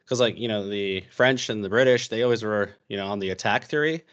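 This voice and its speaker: A man's voice: lowish voice, fairly quick, fairly bright tone, smooth, dull audio. A young American man talking casually as a podcast guest, rambling with lots of fillers and restarts. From United States. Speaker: podcast guest, history YouTuber.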